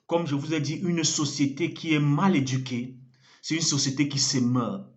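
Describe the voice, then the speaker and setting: A man's voice: fairly high pitch, fairly even intonation, normal speed. A man preaching an online sermon as an evangelist, earnest and fervent, repeating phrases for emphasis, French not his first language. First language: African language.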